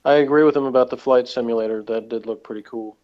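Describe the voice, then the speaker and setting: A man in relaxed discussion of television, speaking at a middling pitch. He rambles and halts as he works through his thoughts. The recording is muffled and lo-fi. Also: fast. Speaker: podcast co-host. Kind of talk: casual TV episode discussion. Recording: dull lo-fi voice recording.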